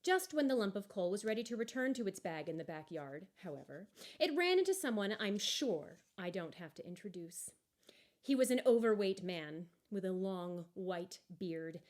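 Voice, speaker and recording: A woman narrating a children's story expressively, with measured, deliberate emphasis. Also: on the low side, animated, darkish tone. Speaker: story reader.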